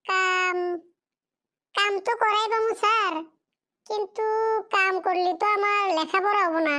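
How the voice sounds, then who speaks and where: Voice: extremely high pitch, steady tone, measured pace, sharp tone, exaggerated voice. A performer voicing a poor, fatherless schoolboy in a cartoon drama, pleading and plaintive in a rural Bengali dialect.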